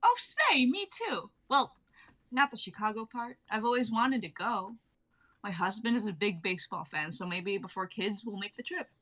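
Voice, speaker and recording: A woman's voice: medium pitch, sing-song, normal speed, a bit bright. A young woman playing a bubbly, teasing twenty-three-year-old wife from 1950 in a dramatic reading, breezy and old-fashioned in her phrasing. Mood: breezy, curious. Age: young adult.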